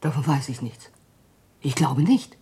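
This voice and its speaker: A woman playing a distraught, evasive wife under police questioning in a radio play, speaking haltingly and nervously. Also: rumbling low, warm tone, husky, exaggerated voice. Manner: hesitant, halting, agitated. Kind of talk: German radio play acting, dramatic dialogue.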